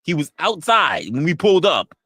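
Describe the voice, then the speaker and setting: A man's voice: fairly high pitch, huge pitch swings, normal speed, bright, forceful tone, husky, no echo, studio quality. A man chatting casually and profanely as a live stream co-host, telling stories by acting out dialogue and repeating phrases for emphasis. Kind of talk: casual, profane livestream chat.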